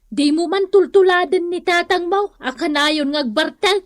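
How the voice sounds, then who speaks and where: Radio drama mother played by a woman: high voice, long-suffering, scolding, Filipino accent, dry studio sound. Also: normal speed, no room sound. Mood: pleading, scolding. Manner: scolding, pleading, sighing. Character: nagging, long-suffering mother and wife, earnestly advising. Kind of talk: Ilocano comedy radio drama acting.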